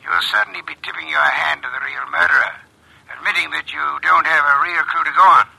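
Brief: imperious radio detective, gravel-throated, rough-edged, formal and brusque. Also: male voice, fairly high pitch, expressive, brisk, sharp tone, exaggerated voice, poor audio. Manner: pompous, formal, commanding. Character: brusque, imperious older male master detective. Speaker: actor playing a detective. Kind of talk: classic American radio drama acting. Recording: old radio drama recording.

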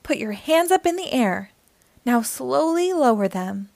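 A woman hosting a children's storytime, reading aloud in an animated, encouraging way and speaking directly to young listeners. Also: high-pitched, unhurried, vocal fry, no room sound.

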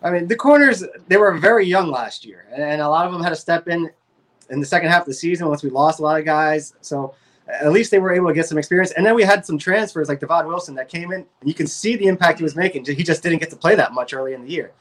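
An American man chats about sports as a show panelist. His voice is a little higher than most men's and he speaks quickly. The style is casual. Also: fairly bright tone.

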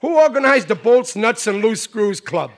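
A man's voice: very high voice, sing-song, normal speed, heavily acted. An actor with an American accent playing a blustering, dim, middle-aged family man in a classic radio sitcom, with colloquial blue-collar diction. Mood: indignant. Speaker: lead actor in a radio situation comedy. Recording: noisy old radio recording.

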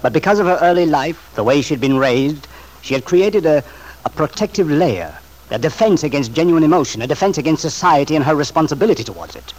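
An actor playing an earnest, reproachful middle-aged uncle in an old-time radio soap, speaking in a grave, moralizing, deliberate way. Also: male voice, on the high side, lively intonation, a little mellow. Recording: noisy vintage radio drama recording.

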